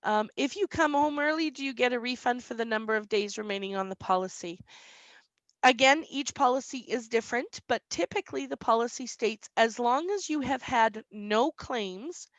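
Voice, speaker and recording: Woman broker, slightly squeaky, plain explainer with "um"s, dry close webinar sound. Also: normal speed, no echo. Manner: reads questions aloud then explains plainly, frequent "um". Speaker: insurance broker answering webinar questions.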